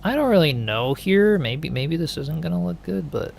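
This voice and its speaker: A man's voice: on the high side, lively intonation, normal speed, gravelly, darkish tone, slight background noise. A man casually chatting while playing a game and answering viewers, easygoing and musing, often trailing off. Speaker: game streamer. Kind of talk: casual gameplay chat, reading viewer comments.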